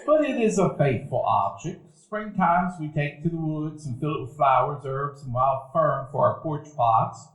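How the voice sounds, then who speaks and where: A man narrates a live radio play in a reflective, storytelling manner. His voice sits slightly high. The sound rings in an echoey hall. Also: expressive, unhurried, hardly any pauses, fairly bright tone. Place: event hall before a live audience.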